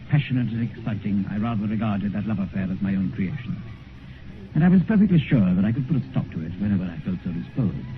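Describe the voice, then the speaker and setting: An actor playing a cynical, witty, urbane gentleman with an upper-class English accent, amused and condescending, in a 1950s radio drama. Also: lowish voice, lightly acted. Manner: languid, epigrammatic, amused condescension. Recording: tinny, noisy 1950s radio recording.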